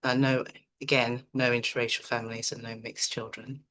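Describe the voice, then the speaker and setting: A middle-aged woman from Nigeria, a psychotherapist presenting an online seminar in a calm, measured, reflective way. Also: extremely low pitch, rough recording.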